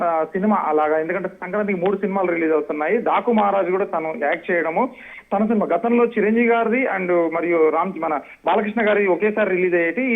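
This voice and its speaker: A tinny phone connection carries a male news reporter with a high voice. He talks very quickly, giving a wordy, off-the-cuff live report. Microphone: phone call. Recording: tinny narrow phone line.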